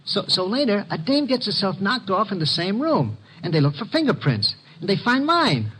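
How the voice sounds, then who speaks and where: An actor playing a nervous, stammering sneak thief with slangy, uneducated speech in an old radio detective drama. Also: male voice, high-pitched, very animated, moderate pace, a bit bright, slight background noise, rough recording. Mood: pleading, flustered. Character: nervous, very tall small-time sneak thief. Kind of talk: 1940s-50s radio detective drama acting.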